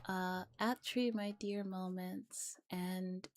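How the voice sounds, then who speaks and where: A woman streamer with a soft, breathy voice, reading and chatting gently in a relaxed ASMR style, at times in a whisper. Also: lowish voice, slow pace. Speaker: streamer hosting visual novel readings.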